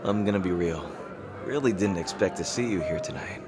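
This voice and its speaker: A man playing a teasing rival king in an intimate dramatic roleplay, halting and smug yet easily flustered. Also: low-pitched, very noisy, natural voice. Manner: halting, teasing, with soft chuckles and pauses.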